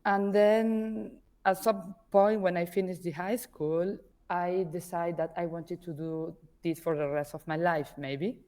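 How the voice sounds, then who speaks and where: Argentinian woman, spanish first language, lowish voice, searches for words. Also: steady tone, unhurried, few pauses, warm tone. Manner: hesitant, searching for words in English. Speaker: podcast guest, artist manager. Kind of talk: conversational interview.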